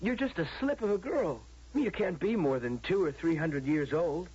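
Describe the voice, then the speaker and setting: A desperate man in an old radio drama, coaxing and urgent. His voice is fairly high and very husky, swinging in pitch, on a muffled broadcast recording. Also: expressive, normal speed, darkish tone. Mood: urgent pleading.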